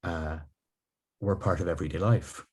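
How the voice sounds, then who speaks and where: A man who grew up in Ireland, with a very deep voice, hosts a reflective interview on a video call. He talks slowly and thoughtfully, with many ums and restarts. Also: fairly even intonation, a little mellow, breathy edge. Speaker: podcast host. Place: living room at home.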